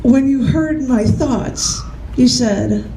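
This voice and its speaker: Slow, mellow woman reading poetry aloud. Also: sits high, slight background noise. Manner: measured deliberate reading, chatty and laughing between poems. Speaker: poet. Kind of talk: live poetry reading with casual asides. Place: large bookstore, indoors. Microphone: camera mic. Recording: echoey room from the camera.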